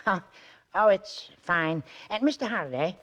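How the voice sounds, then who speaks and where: An actor in a 1940s radio drama playing a timid, boastful, hesitant and naive detective. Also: male voice, sits high, animated, a little slow, a bit bright. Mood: sheepish. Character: timid, boastful male detective, sweet and hapless.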